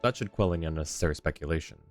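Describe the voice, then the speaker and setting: Man, deep voice, casual game streamer commentary, dry joking asides, close mic, faint game music behind. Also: very fast. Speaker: livestreamer.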